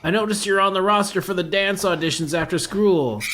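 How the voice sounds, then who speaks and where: A woman's voice: mid-range voice, a little fast, a bit bright, a little hiss or hum, close to an everyday voice. Woman playing a stern, scornful school disciplinarian. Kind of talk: script table read.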